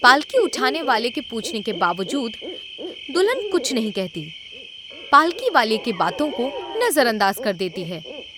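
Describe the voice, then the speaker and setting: A woman narrating a scripted animated horror story. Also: on the high side, unhurried, a crowd in the background.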